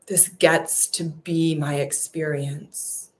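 A woman leading a guided affirmation meditation, speaking softly and soothingly with slow, repetitive phrases. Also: sits low, little pitch movement.

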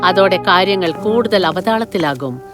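A woman's voice: on the low side, expressive, moderate pace, loud music behind the voice. A woman narrator reading a scripted fairy tale for a children's cartoon.

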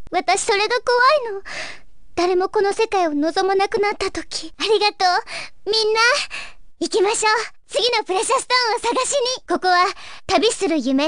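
An actress playing a gentle, earnest young fairy guide of a dream world in a video game, with a wistful, pleading manner. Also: female voice, very high-pitched, dry recording, studio quality. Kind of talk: Japanese video game story acting.

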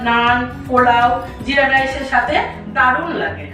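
Female cooking host, higher-pitched, measured instructional voice-over in short phrases, faint music underneath. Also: expressive, pushed and bright. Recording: roomy echo, music behind.

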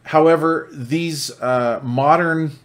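A man's voice: a little high, slow pace. An American man giving a casual hands-on product review in a folksy, conversational, enthusiast's manner. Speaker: radio reviewer.

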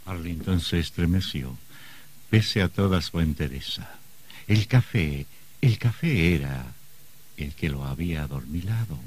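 An actor as the grave storyteller narrating a suspense tale in a radio drama. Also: male voice, sits low, lively intonation, unhurried, a little mellow. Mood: grave, suspenseful.

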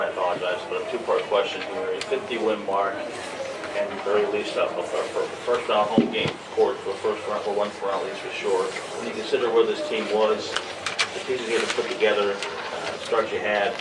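Casting: male reporter, low and monotone, piecing a question together from across a noisy room. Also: normal speed. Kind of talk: press conference question. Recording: distant, roomy, loud room noise.

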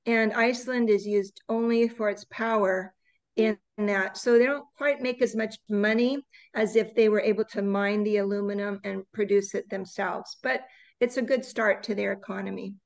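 A woman teaching an online English class over a video call, reading slides slowly and patiently correcting students. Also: medium pitch, steady tone, highs cut off. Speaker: English tutor.

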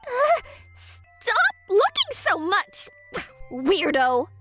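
A woman voicing a bashful but feisty, secretly sweet teenage schoolgirl maid in a manga romcom dub, shy and halting. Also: extremely high pitch, huge pitch swings, pushed and bright, heavily acted. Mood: flustered. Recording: music behind the voice.

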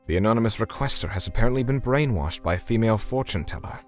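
A man's voice: fairly low pitch, animated, fast talker, a little mellow, natural voice. An actor voicing a solemn, menacing torturer who narrates his vengeance in a dramatic manga dub. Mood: sombre. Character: grim, self-righteous male torturer seeking vengeance for victims. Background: music.

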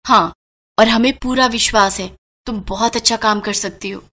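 A woman's voice: on the high side, fairly even intonation, normal speed, sharp tone. A woman voicing a wealthy, caring young friend, chatty and emphatic, in a cartoon moral story. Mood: encouraging.

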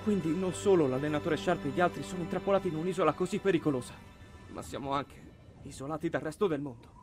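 Man acting an earnest young team captain, higher-pitched. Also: quick pace, over music, close to an everyday voice. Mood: serious, determined. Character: earnest, determined teenage boy team captain. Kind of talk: anime fan dub acting.

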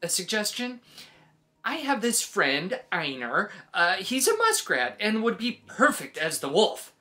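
A woman's voice: medium pitch, very animated, a little slow, pushed and bright. A woman voicing a chatty, meddling sheep with a hesitant, wheedling manner in a children's read-aloud. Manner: hesitant, wheedling, full of suggestions. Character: chatty, meddling, nervously cheerful storybook sheep. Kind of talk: animated children's picture-book read-aloud.

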